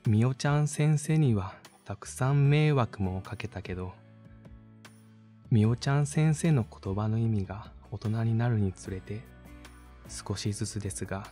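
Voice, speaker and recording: Male university student, played by a young man, laid-back and sincere, unhurried mellow delivery for a voice drama monologue. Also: fairly low pitch, close to an everyday voice. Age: young adult. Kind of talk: voice drama monologue, thinking aloud while writing.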